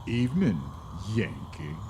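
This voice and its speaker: A man's voice: on the low side, big pitch swings, unhurried. Cowboy bully, male actor, western radio drama: mocking, swaggering, very varied intonation, slightly noisy recording. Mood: menacing. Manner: taunting, folksy western grammar.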